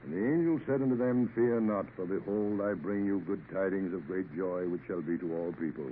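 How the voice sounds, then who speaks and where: An actor playing a big, pious, gruff and bossy sea captain with a commanding manner in a 1950s radio drama. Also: male voice, low voice, a little fast, lightly acted. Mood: reverent. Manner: commanding, blustery, hems before speaking. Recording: tinny, hissy old radio recording.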